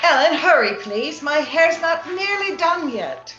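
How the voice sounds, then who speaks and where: A high-pitched, impetuous young heroine of a radio melodrama, played by a woman, theatrical and headstrong in her passion. The voice sits in a roomy, slightly dull video-call recording with faint noise. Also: very animated, a little slow, pushed and bright, faint music behind, heavily acted. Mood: willful, airy. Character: Kathy, passionate, willful young woman of the moors. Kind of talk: old-time radio drama acting. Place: indoor room, remote video-call session.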